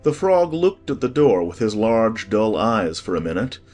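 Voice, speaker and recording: A man narrating a storybook from a script as an expressive audiobook reader. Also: lowish voice, moderate pace, faint music behind.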